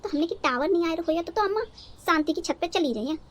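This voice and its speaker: A woman's voice: very high-pitched, animated, fast talker, noisy background. A woman playing a naive, dramatic, talkative young village bride in a cartoon, with a rural North Indian accent and a rambling, guileless manner. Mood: chatty. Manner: rambling, guileless, theatrically indignant. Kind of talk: cartoon story dialogue in rustic dialect.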